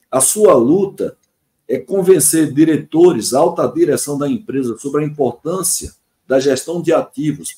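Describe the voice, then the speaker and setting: A man's voice: a little high, mellow. A man in his sixties with a Brazilian accent, explaining his work as a consultant in an earnest way, stressing points through repetition and lists. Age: 60s (62). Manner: earnest, emphatic repetition and listing. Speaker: podcast guest, engineering consultant. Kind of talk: explanatory interview answer, semi-formal.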